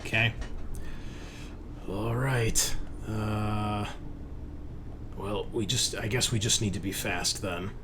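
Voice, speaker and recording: A man's voice: lowish voice, steady tone, slow pace, pauses often, noisy background. A man running a tabletop roleplaying game as game master, talking in a casual, conversational way.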